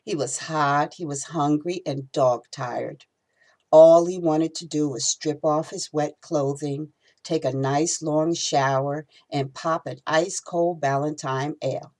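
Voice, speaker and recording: A woman reading her own novel aloud in expressive storytelling narration. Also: low voice, measured pace.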